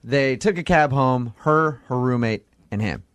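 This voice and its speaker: A man's voice: mid-range voice, unhurried, clean tone, dry recording. A man hosting a radio show, bantering casually in a teasing, wry way.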